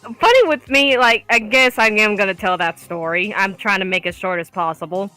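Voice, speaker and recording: A woman's voice: medium pitch, normal speed, pushed and bright, faint music behind, poor audio. A woman from the Southern United States with a Southern accent, rambling and hesitant in casual stream chat. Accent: Southern American.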